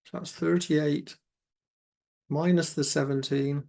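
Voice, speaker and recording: A man with a croaky voice, working through exam maths questions aloud step by step as a tutor. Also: on the high side, takes it slowly. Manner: steady step-by-step, talks himself through sums.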